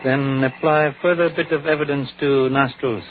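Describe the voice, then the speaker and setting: A man playing a calm, methodical middle-aged Chinese detective in an old radio drama, speaking in measured, clipped sentences that drop small words. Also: mid-range voice, natural voice. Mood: methodical, puzzled. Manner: measured, clipped speech dropping articles and pronouns. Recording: tinny, hissy vintage radio recording.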